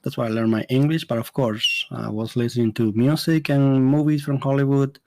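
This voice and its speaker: A man's voice: mid-range voice, fairly even intonation, fairly quick, noisy background. A middle-aged man from the Dominican Republic with a Spanish accent, hosting a podcast as an immigration consultant and speaking earnestly, with frequent fillers and restarts. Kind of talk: solo podcast monologue.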